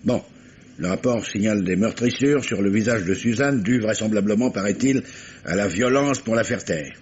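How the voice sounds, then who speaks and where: An actor playing a dogged, polite but insinuating police commissioner who probes with stammering courtesy, in vintage French radio mystery style. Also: male voice, on the low side, normal speed, lightly acted. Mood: dry, needling. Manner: hesitant stammering courtesy, probing. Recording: dull vintage radio recording, faint hiss.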